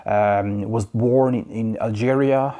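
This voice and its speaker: A man from France with a French accent, chatting casually about his record purchases in a halting way, full of fillers and restarts. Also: fairly low pitch, fairly even intonation, dark tone. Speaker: vinyl collector vlogger. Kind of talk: casual record haul review. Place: home in Quebec.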